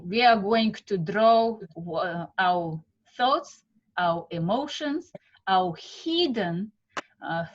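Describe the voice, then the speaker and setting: A woman from Siberia with a Russian accent, teaching an online art meditation class, halting and full of fillers. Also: medium pitch, muffled recording. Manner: halting, many fillers, warm welcomes. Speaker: art method instructor. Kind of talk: live online class introduction. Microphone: video call.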